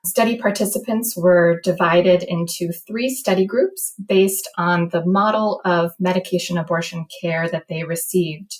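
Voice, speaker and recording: An American woman explains research as an interview guest. Her voice sits a little lower than most women's, and she talks in an explanatory way. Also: steady tone, a little slow, hardly any pauses, poor audio.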